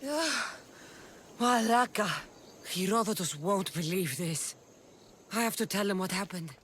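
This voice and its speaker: A woman's voice: medium pitch, unhurried, a bit bright, slight background noise, lightly acted. Woman playing a defiant warrior heroine. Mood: urgent, resolute. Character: defiant, determined female Greek mercenary heroine.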